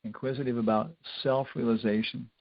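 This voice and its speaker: Older man, gravelly informal spiritual lecturer. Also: mid-range voice, steady tone, a little fast, dry recording. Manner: rambling, conversational, with wry humour. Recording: dull lo-fi lecture recording.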